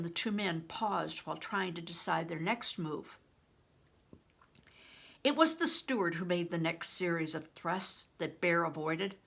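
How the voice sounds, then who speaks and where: An older woman reading a children's novel aloud as a storyteller, in expressive, deliberate audiobook narration. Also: on the low side, gravelly.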